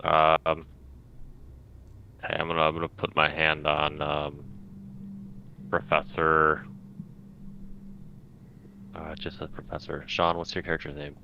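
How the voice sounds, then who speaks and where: A man's voice: very deep voice, monotone, slow pace, gravelly, long pauses. A man playing a tabletop game, talking casually and hesitantly as he feels his way through each sentence. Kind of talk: casual chat. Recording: dull lo-fi sound, faint noise.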